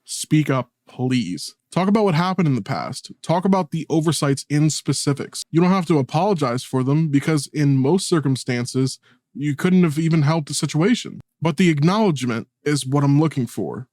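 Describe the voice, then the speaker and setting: A man's voice: on the high side, expressive, normal speed, darkish tone, no room sound, clean studio sound. A man speaking earnestly and colloquially in a personal video essay, as the creator narrating it.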